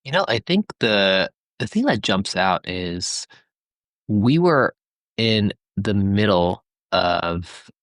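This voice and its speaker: A man from Tanzania, a company founder, answering interview questions in a measured way with many "you know" fillers. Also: on the low side, very animated, a bit bright, no room sound, clean studio sound.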